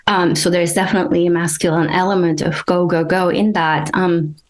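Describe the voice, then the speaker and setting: A woman from Turkey, a guest in an interview, speaking hesitantly and thoughtfully with many pauses and ums. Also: lowish voice, level tone. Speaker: guest, energy healer. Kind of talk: casual interview talk.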